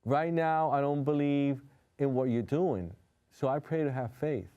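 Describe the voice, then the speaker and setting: A man's voice: medium pitch, measured pace, dark tone, smooth, dull audio. A man from New York City whose first language is Spanish, a hypnotherapist guest talking earnestly and openly in a TV studio interview. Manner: heartfelt, open, speaks with conviction. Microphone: studio TV set.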